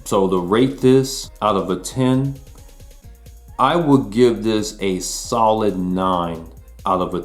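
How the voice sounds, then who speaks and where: A man in his forties casually reviewing an album, laid-back and chatty. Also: sits low. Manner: laid-back, chatty, frequent "you know what I'm saying". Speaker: music reviewer. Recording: roomy echo.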